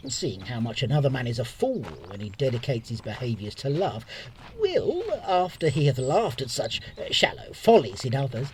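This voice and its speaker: A witty bachelor who scorns love, muses aloud with hugely varied, theatrical intonation. The delivery is wry Shakespearean soliloquy over faint outdoor ambience and birds. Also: male voice, fairly high pitch, a little mellow, slight background noise. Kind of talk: Shakespearean audio drama soliloquy.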